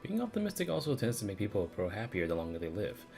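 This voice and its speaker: An actress playing a brisk, confident woman trainer in a video game, upbeat and friendly with a challenging manner. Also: rumbling low, wildly swooping pitch, vocal fry, music in the background, heavily acted.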